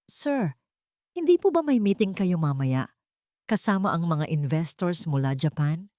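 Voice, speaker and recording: A woman's voice: on the low side, sing-song, unhurried, mellow, dry recording, clean studio sound. A woman voicing a worried, polite young male assistant who talks deferentially. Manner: deferential and anxious.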